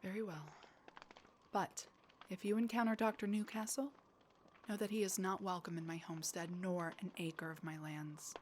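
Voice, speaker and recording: A stern homestead matriarch, played by an actress, speaks in a lowish voice with worry beneath it. It is fantasy audio drama with faint noise around her. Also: steady tone, moderate pace, close to an everyday voice. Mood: stern, hushed. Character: stern homestead matriarch, anxious mother of a lost daughter.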